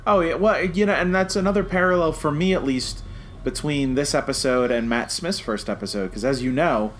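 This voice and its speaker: A man's voice: on the high side, brisk, slight background noise. A man co-hosting a podcast, giving his opinions in a casual, conversational review chat. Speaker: podcast co-host.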